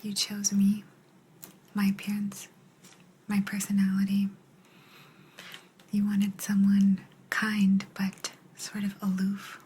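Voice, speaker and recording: A woman voicing a kind but aloof alien examiner in an ASMR roleplay, in a breathy whisper with a gentle, halting delivery. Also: medium pitch. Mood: calm, aloof. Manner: slow, halting, gentle, calmly detached.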